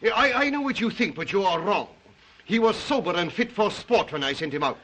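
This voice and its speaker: An actor playing a suave, psychotic aristocratic hunter of men, courteous and menacing in an old 1930s melodrama style. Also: male voice, high voice, lively intonation, a little fast, a bit bright, a little hiss or hum. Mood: amused, explaining. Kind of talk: 1930s melodrama film acting.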